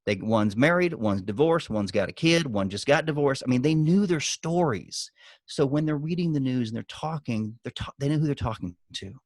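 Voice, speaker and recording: A man's voice: medium pitch, animated, moderate pace, no echo. Emphatic man coaching, dry close sound. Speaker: guest business coach and author. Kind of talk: giving marketing advice on a group video call. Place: online video call.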